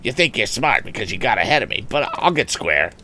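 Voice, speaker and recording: A man's voice: on the low side, big pitch swings, a little fast, bright, forceful tone, slight background noise, voice pushed up. A man voicing a sulky, blustering teenage bully from an old adventure storybook, sputtering and growling slangy lines. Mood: threatening.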